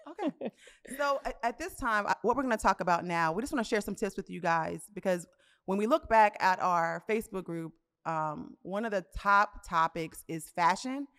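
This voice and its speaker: A woman's voice: medium pitch, normal speed, fairly bright tone. A woman in her fifties co-hosting a podcast, chatting casually and animatedly, often laughing. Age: 50s. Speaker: podcast co-host. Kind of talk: casual chat. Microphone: studio mic.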